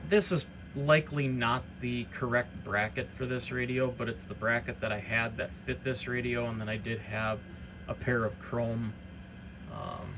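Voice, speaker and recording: A man's voice: medium pitch, noisy background. A man giving an informal, unscripted hobbyist product demonstration in a rambling, matter-of-fact way. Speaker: hobbyist radio reseller presenting a demonstration.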